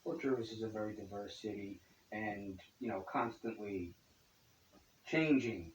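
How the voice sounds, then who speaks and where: A man, an accountant, answering interview questions casually, starting sentences haltingly with a wry streak. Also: on the low side. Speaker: accountant, business partner. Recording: roomy echo, distant mic, faint hiss.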